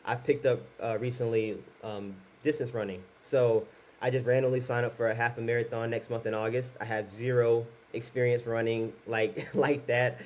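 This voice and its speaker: A man's voice: mid-range voice, fairly even intonation, normal speed, rough recording. Candid young man talking to camera. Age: 20s. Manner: candid, self-conscious, rambling with restarts. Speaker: YouTuber. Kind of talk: casual talk to camera.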